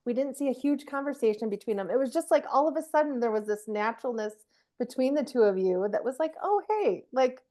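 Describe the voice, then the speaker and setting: A woman's voice: high voice, animated, a little fast, warm tone. A woman co-hosting a podcast, speaking in a casual, conversational way. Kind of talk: casual chat.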